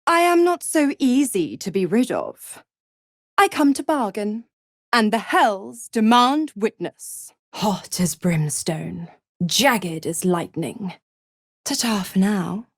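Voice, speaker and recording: An actress playing a seductive, mocking, imperious female devil in a video game, with a sultry, taunting and theatrically drawn-out delivery. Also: medium pitch, big pitch swings, dry recording, clean studio sound, full character voice. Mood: dark, relishing, then smug, mocking.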